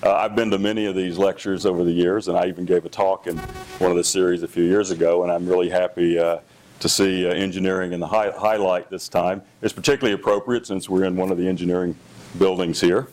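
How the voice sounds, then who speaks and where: Loud low-voiced man lecturing haltingly. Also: steady tone, a little hiss or hum. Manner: hesitant, many fillers and restarts. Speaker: engineering dean giving a lecture. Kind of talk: public lecture. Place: lecture hall.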